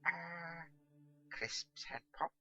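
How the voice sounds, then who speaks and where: A man voicing a muddled, excitable, imperious old wizard with archaic, halting, muttering speech in a storybook reading. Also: a little high, voice pushed up. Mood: muddled, uncertain. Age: older.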